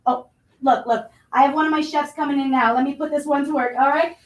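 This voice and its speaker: A woman's voice: high-pitched, fairly even intonation, brisk, lightly acted. A woman playing a blustery, money-minded restaurant boss in a staged reading of a play. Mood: chummy, complaining. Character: pushy, money-minded restaurant boss, self-pitying and scheming. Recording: roomy, distant microphone.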